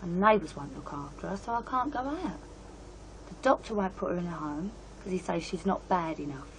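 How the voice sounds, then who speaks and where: A woman's voice: medium pitch, close to an everyday voice. Actress as bitter young British woman, noisy background. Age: 20s. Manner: confiding, aggrieved, colloquial. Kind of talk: radio drama acting. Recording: noisy radio play scene with ambience.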